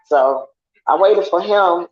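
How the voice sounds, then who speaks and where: Deep raspy woman, roomy sound. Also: takes it slowly, a little hiss or hum. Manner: laughs often, loud and teasing. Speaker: guest on online sports talk show. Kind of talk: casual chat. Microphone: online call. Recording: roomy, dull lo-fi online call audio.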